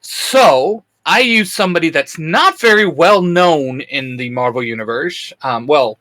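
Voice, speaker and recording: A man co-hosting a fan discussion in a casual, chatty, animated way, often interrupting himself. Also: a little high. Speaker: co-host of a fan discussion show.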